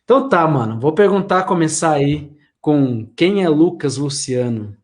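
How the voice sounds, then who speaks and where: A man's voice: on the high side, lively intonation, a little slow, darkish tone. A male host of a live video-call interview show, casual and chatty, reading out viewers' comments. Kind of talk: live-stream interview hosting.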